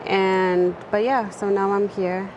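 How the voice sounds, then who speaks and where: A woman's voice: mid-range voice, little pitch movement, slow, a little mellow, dull audio, slight background noise. A young woman from India, a student guest chatting casually in an interview, with lots of "like" fillers and restarts. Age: young adult.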